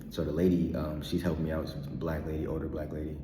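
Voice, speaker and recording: A man's voice: a bass voice, flat delivery, quick pace, echoey room, in a large hall, background noise. A man of twenty talking casually to the camera in a laid-back, slangy, rambling way, with frequent ums and laughs. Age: 20s. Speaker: vlogger. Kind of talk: casual personal vlog monologue.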